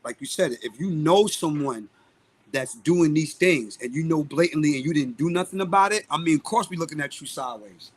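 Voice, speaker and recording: Emphatic Brooklyn man, slightly high voice. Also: fairly quick. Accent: Brooklyn, New York. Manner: emphatic, outspoken, repeats phrases for stress. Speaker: guest, singer and music producer. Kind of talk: live podcast interview talk.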